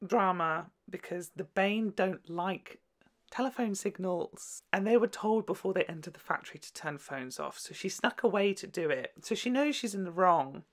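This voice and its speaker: A woman hosting a video, giving casual, gushing and expressive commentary in a campy way. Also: on the low side, normal speed. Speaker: YouTube host reviewing a children's TV show.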